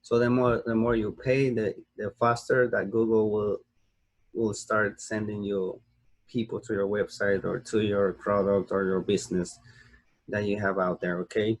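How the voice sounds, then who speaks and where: A man's voice: lowish voice, little pitch movement, moderate pace, low-quality recording. A young man from the United States explains a screen-recorded tutorial, rambling through fillers and restarts and checking in with "okay?" as he goes. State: sleepy. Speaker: online marketing tutor.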